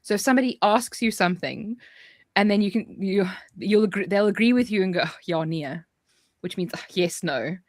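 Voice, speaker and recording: A woman with a South African accent, chatting in a jokey, animated way as a livestream co-host. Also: medium pitch, brisk, dry recording, clean studio sound. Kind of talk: casual livestream chat.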